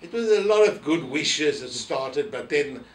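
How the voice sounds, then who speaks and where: A man's voice: a little high, expressive, measured pace. Elderly man, South African accent, anecdotal reminiscing, roomy echo, set back from the mic. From South Africa, family from Poland. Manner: anecdotal, re-enacting quoted remarks. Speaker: interviewee, former synagogue office holder. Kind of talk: reminiscing in an oral history interview. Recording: roomy echo, distant mic, faint noise.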